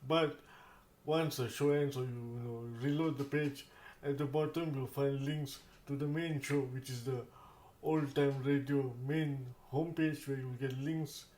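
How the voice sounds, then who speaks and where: A man talks through an informal app demonstration in a mid-pitched voice. His phrasing is halting and circles back on itself. The room adds a noticeable echo. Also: steady tone, darkish tone. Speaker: host of accessibility tech demonstration videos. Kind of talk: informal spoken tutorial walkthrough. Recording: roomy echo.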